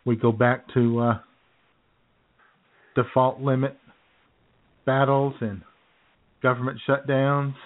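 A man co-hosting a radio show, trading sardonic political jokes in a halting, casual way. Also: medium pitch, level tone. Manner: sardonic, jokey, halting with fillers. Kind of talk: political comedy chat. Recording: phone-like lo-fi sound.

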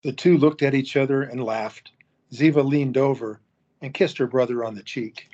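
A man reading a story's narration in an even, steady way. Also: mid-range voice, normal speed, dull audio.

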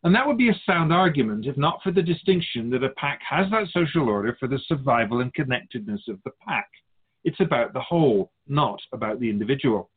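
Radio drama spirit guide, acted by a man: wry, didactic sage, husky and lively in pitch, narrow telephone-like sound. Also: fairly quick. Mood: earnest, instructive. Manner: didactic, wry, formal phrasing. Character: Keeper of Souls, wise, wry otherworldly male spirit guide. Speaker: spirit teacher answering questions. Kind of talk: scripted radio drama dialogue, expository.

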